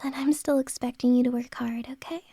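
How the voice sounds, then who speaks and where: A woman playing a flustered boss who confesses her feelings to an employee in an ASMR roleplay. She speaks in a soft, hesitant whisper, close to the mic. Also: sits high, steady tone, brisk, breathy edge, no room sound. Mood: tender, flustered.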